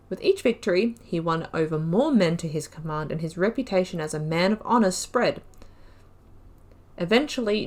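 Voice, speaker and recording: This is a woman with a slightly low voice who hosts a game playthrough. She reads lore entries aloud. Between entries she chats in a casual way, and her sentences often trail off and restart. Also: darkish tone. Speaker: gaming video host.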